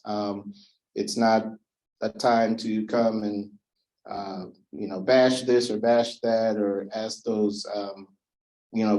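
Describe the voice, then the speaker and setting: A man hosting a community meeting in a meeting room, explaining the ground rules in a relaxed way with many fillers. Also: fairly low pitch, rough recording. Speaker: event host. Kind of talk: community presentation.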